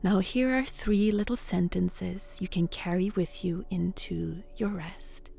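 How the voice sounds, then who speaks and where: A woman hosting a bedtime story, guiding relaxation in a soft, soothing, often whispered voice. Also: fairly low pitch, fairly even intonation, slow, gravelly, slightly rough, faint music behind. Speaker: storyteller and host.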